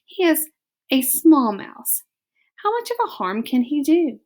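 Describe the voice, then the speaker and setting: A woman's voice: high-pitched, expressive, a little slow, voice pushed up. Woman putting on a haughty French mother mouse. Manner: theatrical, haughty, broken English phrasing. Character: fussy French mother mouse, vain and dismissive.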